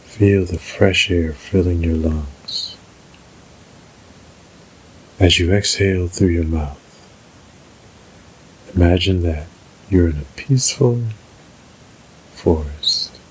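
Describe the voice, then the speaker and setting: Deep, slow-speaking man guiding meditation. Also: slight background noise, poor audio. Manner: soothing, measured, unhurried delivery. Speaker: guided meditation narrator. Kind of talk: slow scripted guided meditation.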